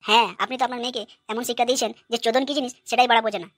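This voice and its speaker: Woman doing a rapid-fire, brash young son-in-law. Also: a little high, fairly even intonation, no echo, clean studio sound, natural voice. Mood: angry, ranting. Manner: brash, ranting. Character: foul-mouthed, hot-tempered, aggrieved young son-in-law. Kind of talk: cartoon comedy dialogue.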